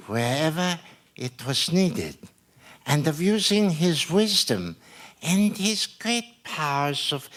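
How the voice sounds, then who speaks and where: A man's voice: sits high, fairly bright tone, heavily acted. A man playing a devoted, solemn Tibetan servant who speaks formally and ceremoniously in an old-time radio play. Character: solemn Tibetan servant, devoted, spouting proverbs to his master.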